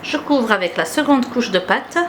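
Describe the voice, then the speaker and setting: A fairly high-pitched woman with an Algerian accent explains a recipe one step at a time, and Arabic is her first language. She talks in a gentle, teaching way and often pauses on filler sounds. A faint hiss of noise sits under her voice. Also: lively intonation. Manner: hesitant, frequent filler sounds. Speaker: cooking channel host.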